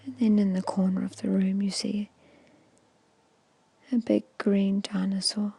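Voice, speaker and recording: Slow, breathy whispering woman. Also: medium pitch, little pitch movement, no room sound. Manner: soothing, hushed, measured, with short phrases and pauses. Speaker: hypnosis and meditation guide. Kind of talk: whispered ASMR guided meditation.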